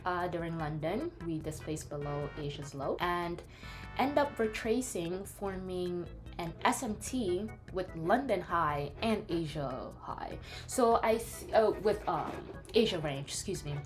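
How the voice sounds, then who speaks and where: Young woman, French-born, slight French accent; energetic, scattered trading vlogger over music. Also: fairly low pitch, unhurried. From France. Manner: bubbly, scattered, switches into French phrases. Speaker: day trader. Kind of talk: casual vlog, screen walkthrough. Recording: background music.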